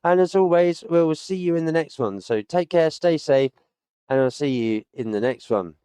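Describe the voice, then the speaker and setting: A man's voice: on the high side, lively intonation, normal speed, warm tone, smooth, no room sound. A British man in his late thirties, a streamer chatting casually to his viewers in a rambling way, with many fillers and stock phrases. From United Kingdom. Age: 30s. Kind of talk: casual sign-off chat to viewers.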